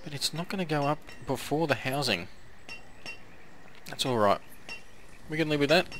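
Man, gameplay commentary, hoarse, tired voice, chatty, fairly high pitch, faint background hiss. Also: animated, normal speed, fairly bright tone, low-quality recording. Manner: chatty, talks to the game's villagers. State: hoarse, coughing, losing his voice. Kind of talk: casual let's-play commentary.

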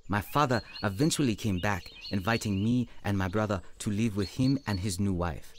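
A man's voice: fairly low pitch, moderate pace, slight background noise, natural voice. An actor playing a humble African evangelist, telling his life story earnestly in a radio drama. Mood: candid, sorrowful. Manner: earnest, reflective. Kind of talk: dramatised first-person narration and dialogue.

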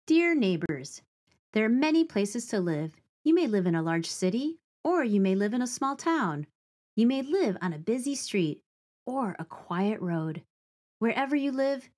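A woman's voice: medium pitch, a little mellow. Woman reading a children's storybook aloud.

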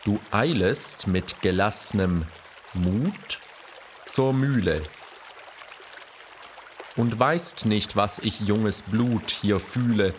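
A male actor playing a courtly, affectionate middle-aged poet reading letters and verse in a measured, formal way for a radio play. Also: on the low side, slight background noise. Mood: courtly, tender. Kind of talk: expressive literary letter and poem reading.

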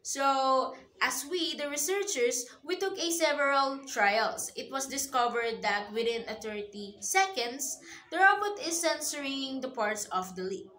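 A young woman with a high voice reads her student research findings aloud, somewhat haltingly. Her webcam picks her up in a roomy, echoing space. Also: animated, pushed and bright, slight background noise. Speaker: student presenter. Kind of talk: reading a research presentation.

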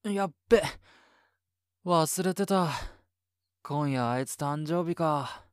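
Man playing a smooth-voiced, clumsy happy-go-lucky guy. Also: fairly high pitch, takes it slowly, no echo, studio quality. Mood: flustered, easygoing. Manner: muttering to himself, breezy. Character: clumsy, happy-go-lucky shonen-hero type boyfriend. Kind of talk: Japanese situation voice acting.

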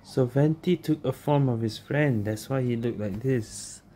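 Very low-voiced woman commenting on a game. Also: unhurried, mellow, slight background noise. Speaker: gaming streamer. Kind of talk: casual gameplay commentary. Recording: game music behind the voice.

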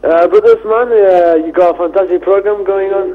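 A man's voice: sits high, steady tone, fairly quick. A male caller phoning in to a live show, polite and enthusiastic. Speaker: phone-in caller. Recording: tinny phone line.

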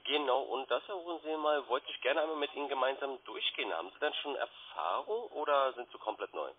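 Casting: man, raspy mid voice, phone-quality sound, polite and keen call centre sales patter full of questions. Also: medium pitch, fast talker. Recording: muffled, band-limited phone line.

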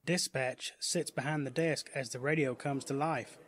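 A man's voice: medium pitch, steady tone, normal speed, fairly bright tone, no echo. A man reading scripted narration for a superhero audiobook story. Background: music.

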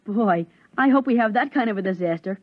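Cheeky young boy, acted by a woman, lively intonation, husky, eager, sulky, vintage radio drama. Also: medium pitch, fairly quick, no room sound, lightly acted. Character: restless young boy, cheeky, grumbling about his sisters. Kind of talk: 1950s radio drama acting. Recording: dull vintage 1950s radio recording.

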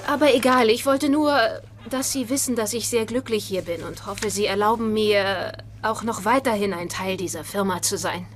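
An actress playing an outspoken wife and office worker in a dubbed sitcom, speaking briskly. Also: female voice, mid-range voice, natural voice. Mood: apologetic, eager. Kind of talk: German-dubbed sitcom acting.

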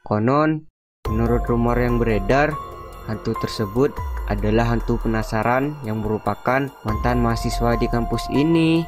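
Give this cartoon a man acting as a young male college student with a Jakarta accent. He speaks in a casual, slangy way, like a friend passing on spooky rumors. His pitch is average, and soft music plays behind him. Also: normal speed, natural voice. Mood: ominous gossip. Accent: Jakarta Indonesian. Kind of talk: animated cartoon dialogue.

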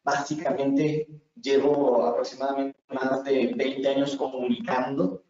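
A man's voice: mid-range voice, little pitch movement, unhurried, warm tone. A man in his twenties from Ecuador with an Ecuadorian accent, giving an earnest webinar lecture on communication and repeating words for emphasis. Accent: Ecuadorian Spanish. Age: 20s. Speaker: communication instructor. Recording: echoey room, far from mic, muffled.

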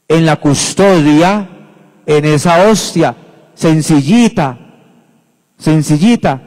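Male priest, 50s, slow deliberate homily, repeats for emphasis, handheld mic, church reverb. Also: on the high side, darkish tone. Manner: unhurried, emphatic, repeats phrases, gestures broadly. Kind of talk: homily at Mass. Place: church sanctuary at the lectern. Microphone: handheld microphone.

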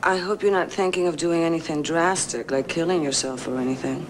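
Actress as low-voiced wry American woman. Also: fairly quick, natural voice. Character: wry, caring young woman working in an office. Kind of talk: naturalistic television drama acting. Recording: noisy television drama soundtrack.

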